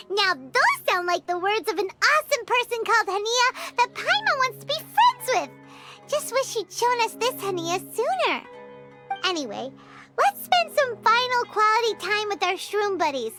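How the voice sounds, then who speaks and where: Casting: tiny excitable fairy sidekick for a game, piping childlike squeak, very animated delivery. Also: female voice, a little slow, loud music behind the voice, heavily acted. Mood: cheerful. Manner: bubbly, emphatic, refers to self in third person. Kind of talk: video game voice acting.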